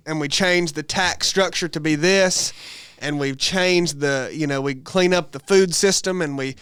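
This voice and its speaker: A man's voice: fairly high pitch, measured pace, fairly bright tone, smooth, no room sound. An American man in a casual religious podcast discussion, talking in an emphatic, colloquial way as a host. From United States.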